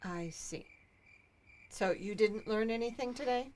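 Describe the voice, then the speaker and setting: A woman's voice: fairly high pitch, unhurried, a little mellow, close to an everyday voice. An actress playing a well-off small-town woman who is prim and guarded, speaking in a formal, clipped, evasive way.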